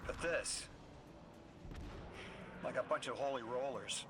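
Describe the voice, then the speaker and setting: A man's voice: mid-range voice, slow, natural voice. A man playing an earnest, mild-mannered guide in a video game, speaking thoughtfully and a little hesitantly. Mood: concerned. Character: earnest, mild male radio guide, sympathetic to aliens. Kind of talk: scripted video game dialogue. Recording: clear background noise of game ambience.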